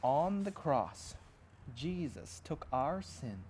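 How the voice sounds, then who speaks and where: A man's voice: fairly high pitch, very animated, slow, background noise. A man reading a children's storybook aloud as a pastor, expressively and dramatically, with simple emphatic phrasing and asides to young listeners.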